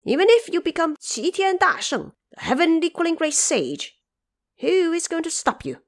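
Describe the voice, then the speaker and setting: A woman voicing fawning male horned monster kings, eager and flattering, in an audio drama. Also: very high-pitched, moderate pace, pitched above the performer's own voice, heavily acted. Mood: eager flattery. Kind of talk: audio drama character acting.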